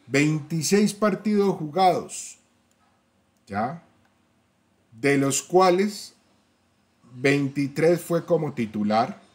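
A man hosts a football fan channel in a casual, direct way. He keeps turning to his listeners and backs every point with numbers. His voice sits at an ordinary middle pitch. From Colombia. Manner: talks to viewers directly, tag questions, stats-heavy.

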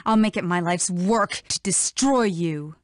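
A woman's voice: fairly low pitch, measured pace, no room sound, clean studio sound, lightly acted. An actress playing an honest, defiant policewoman in a video game, indignant and impassioned. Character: honest, defiant female fox police officer.

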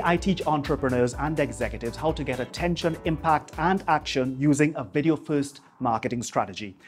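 A man, presenting a scripted piece to camera in a polished, measured broadcaster's delivery on a lapel mic in a home office. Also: a little high. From Trinidad and Tobago. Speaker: YouTube host, marketing coach. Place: small home office, indoors.

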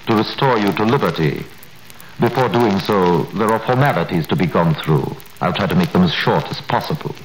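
Cold prosecutor, measured, authoritative, 1930s radio drama, roomy sound, faint hiss. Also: male voice, fairly low pitch. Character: cold, guarded crown prosecutor, grown man.

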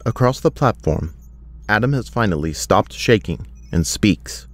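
A man's voice: fairly low pitch, expressive, unhurried. A man reading scripted narration for an action audio drama.

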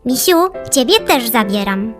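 A sweet little child with a high, innocent voice. The delivery is cutesy, made for a children's Christmas audio play. Music runs clearly underneath. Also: female voice, sing-song, measured pace, a bit bright. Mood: cheerful. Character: sweet little child who dotes on teddy bear.

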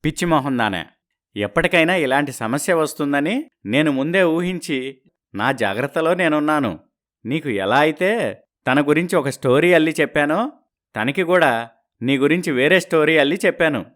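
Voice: medium pitch, lively intonation, normal speed, pauses often, a bit bright, close to an everyday voice. An actor voicing an arrogant, scheming husband in a moral story, boastful, sneering and threatening. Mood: mocking, gloating. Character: arrogant, scheming, mocking husband living in America. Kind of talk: dramatized moral story dialogue.

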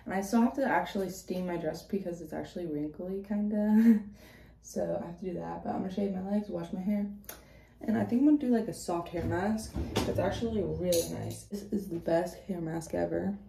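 Teen girl vlogger, hoarse and gravelly, mellow low voice, phone held at arm's length. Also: brisk, slight background noise. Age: teens. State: hoarse from yelling. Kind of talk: casual vlog chat. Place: bedroom at home. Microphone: phone camera at arm's length.

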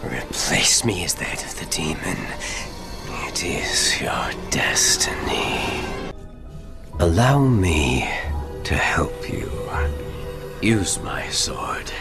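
A sinister, commanding video game mastermind. He speaks extremely slowly in a creaky, breathy rasp that swings widely up to shouts. Loud music plays behind. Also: deep voice, sing-song, in a whisper, full character voice. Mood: commanding, tempting. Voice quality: gravelly, breathy. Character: ancient, grandiose, sinister villain mastermind. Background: loud dramatic music.

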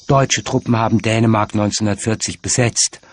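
A man's voice: fairly low pitch, fairly even intonation, a little fast, no room sound. A man reading scripted narration for a documentary. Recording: music bed under the voice. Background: music.

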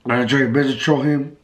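A young man with a hoarse, sick voice, vlogging in a bedroom and teasing his cousin. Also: medium pitch, a bit bright. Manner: mocking, goading. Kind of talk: casual vlog, teasing. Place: bedroom at home. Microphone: handheld camera mic.

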